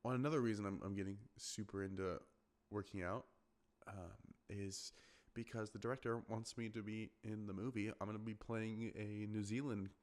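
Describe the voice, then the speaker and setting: Deep-voiced man rambling on a podcast. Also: fairly even intonation, normal speed, darkish tone. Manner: rambling, many fillers and restarts. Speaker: podcast host. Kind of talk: casual solo podcast chat.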